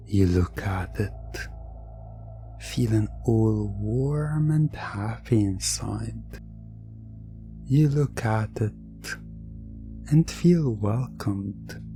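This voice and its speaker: Very slow, low-voiced male hypnosis narrator. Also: dark tone. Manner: slow, soothing, suggestive, with long pauses. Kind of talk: hypnosis roleplay ASMR, scripted second-person narration.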